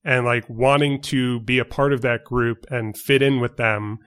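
Podcast co-host, young man from New York, precise and measured with lots of fillers, mid-pitched voice. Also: steady tone, fairly bright tone, clear, resonant tone. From New York City. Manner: measured, precise wording with many fillers and restarts. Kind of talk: casual chat.

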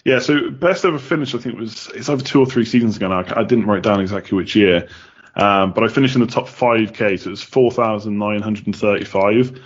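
Brief: fairly low male voice, chatty podcast guest from the UK, lo-fi dull audio. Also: a little fast, husky. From United Kingdom. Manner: chatty, many ums and restarts. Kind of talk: casual interview answers.

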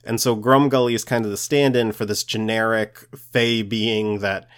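Low-pitched man, explanatory podcast talk. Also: little pitch movement, moderate pace, fairly bright tone, clear, resonant tone. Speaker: podcast co-host. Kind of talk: explaining fairy tale lore on a podcast.

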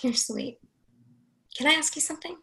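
Bright, wry woman playing an anxious young friend. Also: high-pitched, normal speed. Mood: curious, teasing. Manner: conversational, wry. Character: Julia, anxious, candid, teasing woman confiding in friend. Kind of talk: readers theatre play reading.

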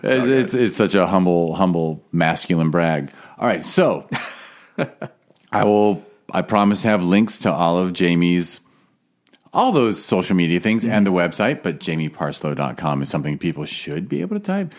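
A man's voice: low-pitched, expressive, moderate pace. A man in his late thirties hosting a podcast, talking in a candid, joking way and swearing casually. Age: late 30s. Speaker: podcast host. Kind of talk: casual chat.

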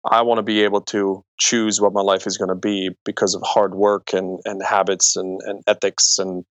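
A man's voice: on the low side, level tone, normal speed, dry recording. A man in his forties from Croatia, talking conversationally about himself as an interview guest. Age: 40s. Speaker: podcast guest, entrepreneur and speaker. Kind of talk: conversational interview, personal storytelling.

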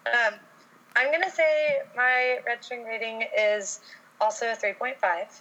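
A woman's voice: a little high, fairly even intonation, measured pace, bright, forceful tone, lo-fi sound. A woman from Texas chatting casually on a podcast, easygoing and rambling. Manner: easygoing, rambling, lots of ums. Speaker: podcast co-host.

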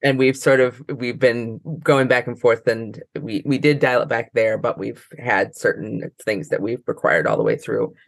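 Creaky, low-voiced woman explaining haltingly. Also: no room sound. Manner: halting, circling explanations with many false starts. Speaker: librarian interview guest. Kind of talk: informal interview answers.

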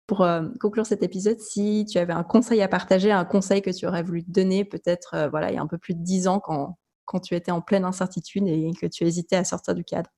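A woman's voice: fairly low pitch, steady tone, warm tone. A woman hosting a podcast, asking friendly questions and closing the episode. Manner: friendly, measured questions and wrap-ups. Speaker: podcast host. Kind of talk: podcast interview.

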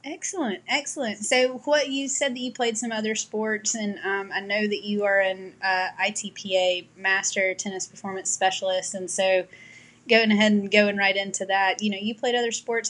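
A woman's voice: medium pitch, steady tone, moderate pace, a bit bright. A woman hosting a podcast interview, asking questions and giving brief, encouraging affirmations. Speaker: podcast host.

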